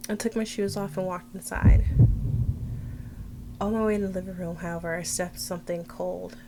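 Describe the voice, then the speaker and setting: A woman's voice: on the low side, steady tone, normal speed, background noise. A woman narrating a visual novel let's play, reading dramatically and dropping in casual asides. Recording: loud game music behind. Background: game music.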